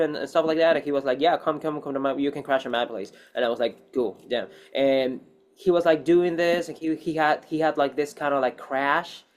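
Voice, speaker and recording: A man's voice: medium pitch, a little mellow. A man speaking non-native English in a casual interview as an actor, rambling and repeating himself as he gives emphatic advice.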